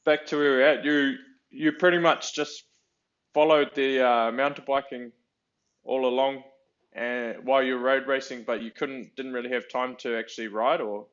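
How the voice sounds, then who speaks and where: A man interviewing someone in a casual chat, asking loose, halting questions that tend to trail off. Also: mid-range voice, steady tone, fairly bright tone. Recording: dull lo-fi audio.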